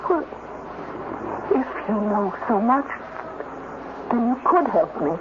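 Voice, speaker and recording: A woman playing an earnest, insecure scientist in a 1950s radio drama, speaking haltingly and with emotion. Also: fairly high pitch, sing-song. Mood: anxious, doubting. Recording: dull, noisy 1950s radio recording.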